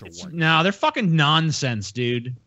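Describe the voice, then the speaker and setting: A man's voice: a little high, expressive, slow pace, sharp tone, dull audio. An American man hosting a podcast, chatting casually in a blunt, profane, ranting way. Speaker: podcast host. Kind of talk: casual chat, ranting banter. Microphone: close podcast microphone on a video call.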